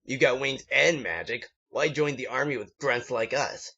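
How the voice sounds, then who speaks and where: A man's voice: mid-range voice, moderate pace, pushed and bright, breathy edge. A man voicing a smug young pony bully from a story, with a taunting, sneering manner. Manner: taunting, mocking. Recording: dull lo-fi home recording.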